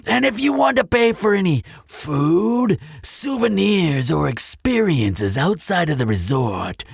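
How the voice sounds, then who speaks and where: A man's voice: on the high side, gravelly, slightly rough, no echo, studio quality, full character voice. A man playing a courteous, slyly persuasive demon hotel receptionist in a scripted roleplay addressed to the listener, polite and coaxing. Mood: pleased, inviting. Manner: unhurried, polite, coaxing salesmanship. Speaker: resort front-desk clerk.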